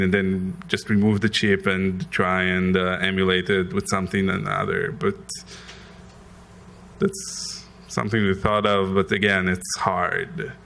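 Slow-talking man with an Israeli accent. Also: low-pitched, a bit bright. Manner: hesitant, many ums, restarts, light self-deprecating laughs. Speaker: conference speaker. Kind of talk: conference Q&A answers.

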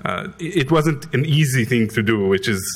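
A man's voice: mid-range voice, animated, normal speed, breathy edge. A man from Israel with an Israeli accent, answering audience questions after a conference talk, hesitant with many ums and restarts. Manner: hesitant, many ums, restarts, light self-deprecating laughs. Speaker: conference speaker.

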